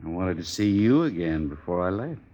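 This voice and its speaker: A man playing a cold, taunting gunman villain in a 1950s western radio drama, speaking deliberately. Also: low voice, big pitch swings, dark tone. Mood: coolly taunting. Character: cold, menacing gunman villain of the Old West. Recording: dull old radio recording.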